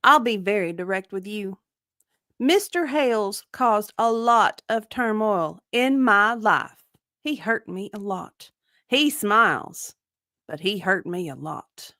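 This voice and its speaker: A woman's voice: medium pitch, lively intonation, slow pace, fairly bright tone, no echo, clean studio sound. A woman playing a defensive, indignant witness in a dramatic reading of a deposition, evasive and insistent in clipped answers. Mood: hurt, blunt.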